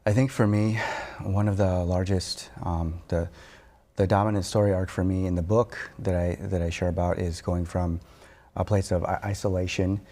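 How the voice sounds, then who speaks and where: A man, a therapist and author, speaking softly and reflectively as a TV interview guest, pausing often with fillers. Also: low-pitched, flat delivery. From born in Korea, raised in Wisconsin. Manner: soft-spoken, reflective, halting with fillers.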